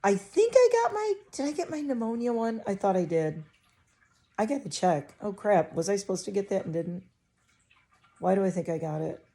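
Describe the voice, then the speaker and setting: A woman's voice: medium pitch, big pitch swings, moderate pace, warm tone. A middle-aged woman vlogger chatting casually to camera from her living room, rambling and interrupting herself, a little stuffy with a scratchy throat.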